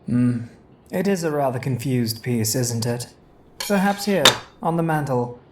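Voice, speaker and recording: An actor playing an obsessive, pompous grave robber in a horror audio drama, speaking in a lofty, theatrical, formal way. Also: male voice, mid-range voice, animated, unhurried, heavy background noise, natural voice. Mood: aloof, amused.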